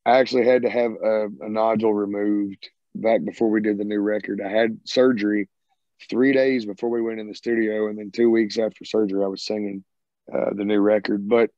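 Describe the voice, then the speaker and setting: A man's voice: fairly low pitch, flat delivery, brisk, mellow, no room sound. A man in his thirties with a Southern American accent, a rock singer casually answering interview questions with a rambling, laid-back manner over a video call. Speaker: rock band singer and guitarist. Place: home, by a sided wall. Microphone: video call, earbuds. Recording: muffled dry video call audio.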